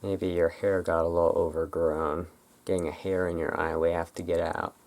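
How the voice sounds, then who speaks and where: The voice sits very low and is thick with creak. It belongs to an ASMR host who talks informally, rambling and halting through a casual roleplay. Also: little pitch movement, a little mellow.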